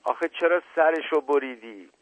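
A man's voice: fairly high pitch, pitched above the performer's own voice, close to an everyday voice. A man voicing a volatile, coquettish and domineering wife in a story, with dramatic delivery. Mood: tender, coquettish. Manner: wailing endearments, sharp retorts. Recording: roomy lo-fi radio-like narrow band.

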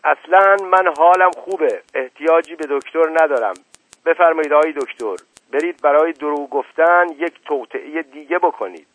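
A man voicing a quick-tempered, paranoid old man who shouts indignant orders. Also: on the high side, no echo, voice pushed up. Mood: furious. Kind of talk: audiobook character reading. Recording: tinny narrow-band, dry.

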